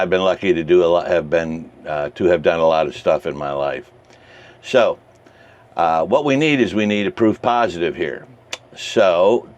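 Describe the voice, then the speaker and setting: Low-pitched man explaining his hobby casually. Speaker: amateur radio hobbyist presenting a how-to video. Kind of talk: casual explanatory vlog talk.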